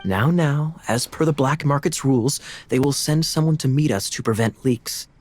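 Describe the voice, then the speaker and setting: A composed young knight, played by a man, mid-pitched and smooth, with an easy, faintly teasing calm. He lays out plans in a measured, unhurried game-dialogue delivery. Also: over music, natural voice. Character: smooth, sly young male knight captain. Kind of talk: game voice acting.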